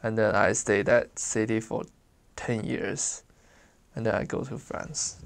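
A young man from China with a Chinese accent, speaking English as a second language in an interview about his childhood, halting and searching for words, laughing easily. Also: lowish voice, little pitch movement, creaky, clear, resonant tone. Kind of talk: casual life-story interview.

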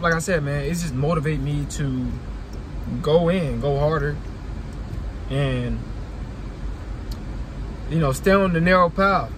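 Young man, slightly high voice, rambling vlog talk, phone mic in a car, road noise outside. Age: young adult. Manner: rambling, repeats "like I said" and "you know what I'm saying". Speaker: vlogger. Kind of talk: casual motivational talk to camera. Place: parked car interior. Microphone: phone camera on dashboard. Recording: car interior with outdoor traffic noise.

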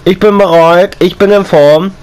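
A young man with a slight cold, chatting casually as he plays and comments on a console game, repeating and rhyming his lines and breaking into excited outbursts. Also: sits high, normal speed, clean tone. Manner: excited outbursts while playing. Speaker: gaming YouTuber.

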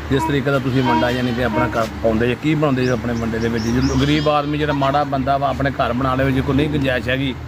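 A man's voice: mid-range voice, fairly even intonation, fast talker, few pauses, heavy background noise. A middle-aged man, a farm owner, chatting in a folksy, rambling, earnest way in an outdoor farmyard. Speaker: farm owner, father of host. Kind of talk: casual farm chat.

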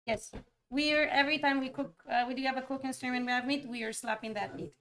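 High-voiced woman streamer cooking. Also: steady tone, quick pace. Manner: loud, swearing, playful. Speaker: streamer host. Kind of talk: casual live-stream chat while cooking. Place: home kitchen. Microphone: boom mic on stand. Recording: stream music behind.

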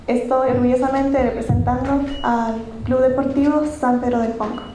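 A woman's voice: sits high, little pitch movement, moderate pace, warm tone. A young woman with an Ecuadorian accent formally introducing herself as a pageant contestant. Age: young adult. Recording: echoey hall, faint noise.

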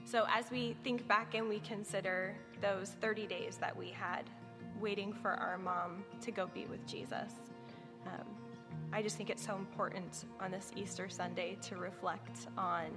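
Young woman, earnest testimony, mid pitch, roomy hall, soft music under. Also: a little slow. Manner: earnest, grows lively when recalling joyful moments. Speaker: church member sharing testimony. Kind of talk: reflective personal testimony. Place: large bright indoor hall, seated interview.

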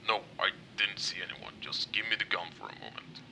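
A man voicing a gruff, impatient masked soldier in a comic dub, grumbling and deadpan. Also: sits low, a little fast, exaggerated voice, low-quality recording. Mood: coaxing. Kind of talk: amateur comic dub voice acting. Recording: gritty track with background noise.

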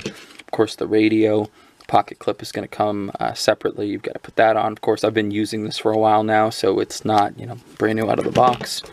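Male product reviewer, low creaky voice, informal hands-on explainer delivery, unscripted. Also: a little hiss or hum. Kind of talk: casual unscripted gadget overview.

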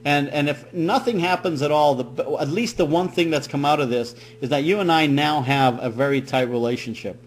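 A man's voice: a little high, moderate pace, few pauses. A middle-aged man making an improvised comic prank call, rambling with feigned naive worry. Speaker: prank caller. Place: small room at home, indoors. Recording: noisy webcam audio with hum.

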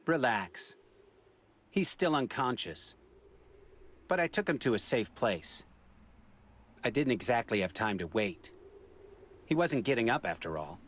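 A man's voice: mid-range voice, big pitch swings, unhurried, long pauses, a bit bright, slight background noise. An actor playing a cool, flippant young male android in a video game cutscene. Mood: cool, smug. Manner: flippant, casual.

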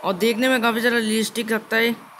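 A young man giving casual gameplay commentary as a video host, halting and repeating his phrases as he goes. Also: very high voice, little pitch movement, a bit bright. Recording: clearly noisy game capture.

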